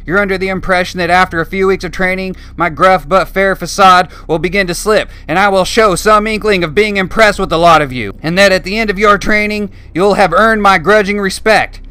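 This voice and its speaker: A man voicing a large, angry, foul-mouthed drill sergeant who shouts abuse at recruits. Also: high voice, brisk, few pauses, clean tone, pitched above the performer's own voice. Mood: barking, abusive. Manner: shouting, profane, mocking.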